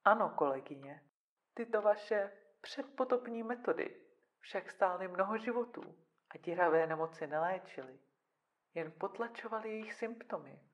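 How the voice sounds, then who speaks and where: A woman acts out a clinical, controlling female psychiatrist in a radio play. Her mid-pitched voice is measured and formal, with a patronizing calm. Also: dull audio, natural voice. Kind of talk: dramatic audio drama dialogue.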